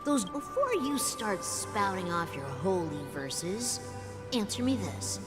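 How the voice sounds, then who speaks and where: Brash, swooping, mocking merchant. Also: female voice, medium pitch, very animated, takes it slowly, loud music behind the voice. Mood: scornful, challenging. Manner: sarcastic, confrontational. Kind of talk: dramatic game voice acting.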